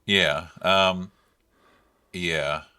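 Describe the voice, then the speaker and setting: A man's voice: low-pitched, fairly even intonation, extremely slow, pushed and bright, clean tone. A young man co-hosting a podcast in casual chat, speaking in a hesitant, meandering way. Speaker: podcast co-host.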